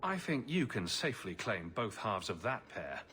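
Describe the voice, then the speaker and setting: A man's voice: on the low side, big pitch swings, moderate pace, pushed and bright, a little hiss or hum. A man voicing a wry, self-assured adventurer in a video game, with a dry, teasing manner.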